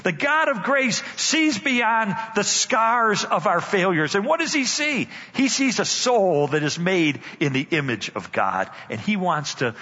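Lively husky older preacher in a hall. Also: male voice, high-pitched. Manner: dramatic storyteller, slows for emphasis. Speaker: guest speaker. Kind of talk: storytelling sermon. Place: church sanctuary. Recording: dull church hall reverb.

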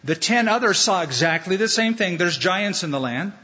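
A man preaching a sermon as pastor, animated and playful, acting out the voices he quotes. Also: sits high, moderate pace. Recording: dull sound in a large hall with long reverb.

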